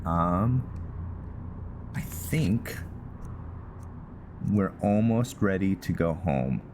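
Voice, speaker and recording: Male gaming host, deep and unhurried, casual and halting, thinking aloud over noticeable game ambience. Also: animated, noisy background. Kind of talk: casual game roleplay commentary.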